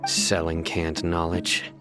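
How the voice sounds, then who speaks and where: An actor playing a stern, composed and principled investigator in a video game, speaking in a measured, serious, deliberate way. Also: male voice, low-pitched, monotone, music in the background. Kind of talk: scripted video game voice acting.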